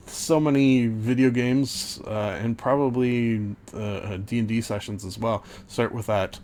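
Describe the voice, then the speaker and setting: A man hosting a podcast, casually recapping an old tabletop campaign, rambling with many fillers and self-corrections, and a little hoarse. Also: medium pitch, steady tone, a little slow, a little mellow, slight background noise. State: losing his voice. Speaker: podcast host and game master.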